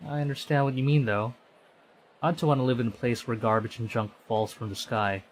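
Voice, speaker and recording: A man's voice: medium pitch, steady tone, brisk, a little hiss or hum. A man reading a visual novel aloud on stream, conversational, often stumbling and correcting himself. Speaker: VTuber streamer.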